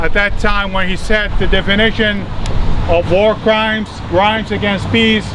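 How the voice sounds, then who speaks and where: A man from Iraq, giving a formal protest speech at an outdoor rally, measured and correcting himself as he goes. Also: high voice. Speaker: rally speaker. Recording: noisy outdoor recording.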